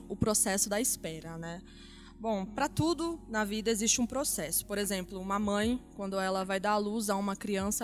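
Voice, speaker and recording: A woman hosts a church podcast, greeting her guests and laying out the episode's theme in a friendly, explanatory way. Faint music plays under her voice. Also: medium pitch. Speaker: podcast host. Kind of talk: casual church podcast hosting.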